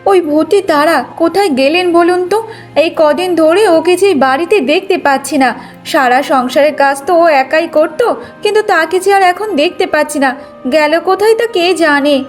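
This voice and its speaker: A woman voicing a resentful, lazy young daughter-in-law, grumbling and sharp-tongued, in a cartoon moral tale. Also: very high voice, moderate pace, faint music behind. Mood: feigned puzzlement.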